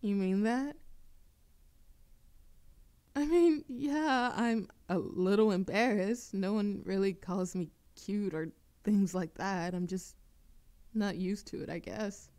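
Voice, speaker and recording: Slow-talking, teasing young barista played by a woman. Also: medium pitch, warm tone, close to an everyday voice. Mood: shy, embarrassed.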